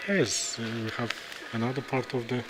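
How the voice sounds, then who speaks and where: Guy talking through a procedure live, halting, thinking out loud, noisy room behind him. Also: mid-range voice. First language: Kazakh. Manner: halting, thinks aloud with pauses and restarts. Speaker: interventional neurosurgeon. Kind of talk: live commentary during a procedure.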